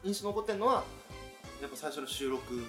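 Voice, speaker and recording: A woman's voice: low-pitched, expressive, fairly quick, faint music behind. A woman chatting casually as a guest in a radio round-table. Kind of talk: casual chat.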